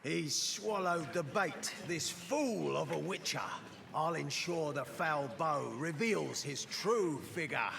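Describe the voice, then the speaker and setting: A sly, plotting page from a stage play in a video game with a high, gravelly voice. He speaks his rhyming lines slowly and theatrically. Game music plays behind him. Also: noisy background. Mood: scheming. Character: scheming male page, a stage-play villain.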